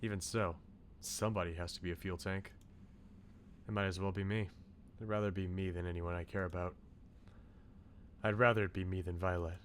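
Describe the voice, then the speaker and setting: A man reading a brooding, self-sacrificing young man's inner thoughts from a visual novel, low and subdued. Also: fairly even intonation, a little fast, long pauses, slight background noise, lightly acted. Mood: wistful. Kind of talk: visual novel read-aloud.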